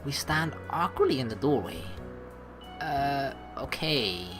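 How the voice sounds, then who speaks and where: A woman's voice: extremely low pitch, lively intonation, takes it slowly. A woman let's player reading game text aloud expressively, mixed with casual commentary. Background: game music.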